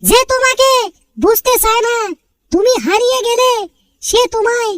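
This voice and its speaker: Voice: very high voice, normal speed, a bit bright, no room sound, clean studio sound. A performer voicing a small cartoon character who recites sentimental love lines in a mournful, earnest way. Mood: wistful.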